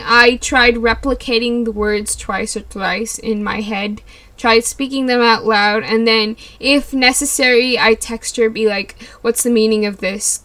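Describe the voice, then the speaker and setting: A woman's voice: a little high, bright, forceful tone. A young woman from California chatting on her solo podcast, rambling with many fillers and frequent laughs. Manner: rambling, giggly, lots of likes and you knows. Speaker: podcast host. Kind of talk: casual solo podcast chat.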